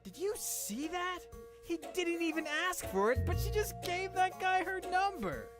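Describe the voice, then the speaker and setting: A woman's voice: very high-pitched, big pitch swings, measured pace, music in the background, exaggerated voice. A woman voicing an amazed, envious young classmate in a visual novel game.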